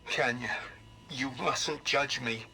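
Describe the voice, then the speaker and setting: Anguished father, slow and pleading. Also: medium pitch, crowd noise, low-quality recording. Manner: pleading, halting. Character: anguished, guilt-ridden father pleading with his daughter. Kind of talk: video game voice acting.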